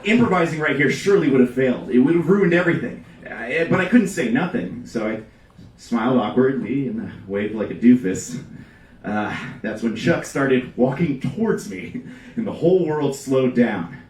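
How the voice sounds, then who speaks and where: A man reading a comic story aloud at a live event, with theatrical emphasis and dramatic pauses. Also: medium pitch, animated, darkish tone. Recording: echoey live room, distant mic, background noise.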